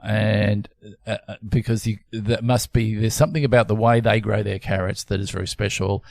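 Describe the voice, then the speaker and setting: A man's voice: lowish voice, flat delivery, moderate pace, vocal fry, a little mellow, no echo, clean studio sound. A man hosting a podcast, chatting casually in a playful, rambling way. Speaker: podcast host. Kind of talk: casual chat.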